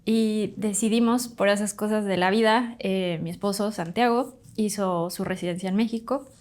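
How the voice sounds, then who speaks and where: A woman in her thirties, a doctor from Bolivia, telling her migration story in a chatty, animated way as a podcast guest. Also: medium pitch, moderate pace. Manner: chatty, animated, fond of "pues" and "literal". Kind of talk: casual interview storytelling.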